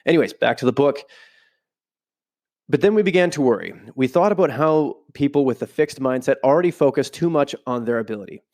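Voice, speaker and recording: A man's voice: mid-range voice, expressive, a little fast, darkish tone. A man hosting a podcast with a stuffy voice from a cold, reading from a book at home and breaking off into casual side remarks. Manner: reads aloud, then breaks off into loose asides. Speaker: podcast host. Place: home room, not a studio.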